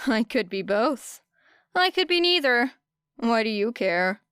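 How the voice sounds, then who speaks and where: A woman's voice: fairly high pitch, sing-song, unhurried, a bit bright, smooth, no echo. Woman voicing a cocky, sarcastic young girl. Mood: defiant. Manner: snarky, dry, teasing. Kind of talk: audiobook character dialogue.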